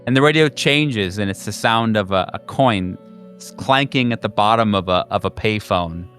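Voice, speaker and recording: A man with a fairly low voice runs a horror roleplaying game over faint music. He narrates conversationally, feeling his way through detailed descriptions as game master. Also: moderate pace, a bit bright. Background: background music.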